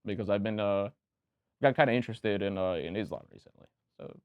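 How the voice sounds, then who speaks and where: A man's voice: on the low side, a little fast, smooth, dry recording. A young man with a Romanian accent, a guest candidate talking opinionatedly in a casual interview, with many restarts. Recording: dull lo-fi sound.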